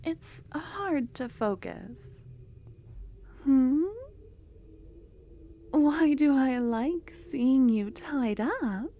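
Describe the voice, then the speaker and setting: A woman voicing an obsessive, possessive young cultist in an ASMR fantasy roleplay, speaking in a soft, breathy half-whisper that coaxes and soothes. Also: high-pitched, animated, extremely slow, pauses often, a little hiss or hum, exaggerated voice. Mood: soothing, possessive.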